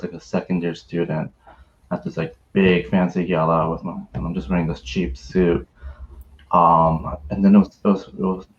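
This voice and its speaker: A man's voice: low voice, a little mellow, lo-fi sound. A young man from Canada in his twenties, a student telling a story on a video call, hesitant with many fillers and restarts. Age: 20s. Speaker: university student panelist. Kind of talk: casual storytelling on a webinar.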